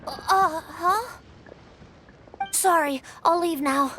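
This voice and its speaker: High, timid, nervous youngster. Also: female voice, extremely slow, loud music behind the voice, exaggerated voice. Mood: nervous, evasive. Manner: hesitant, apologetic. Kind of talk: video game character dialogue.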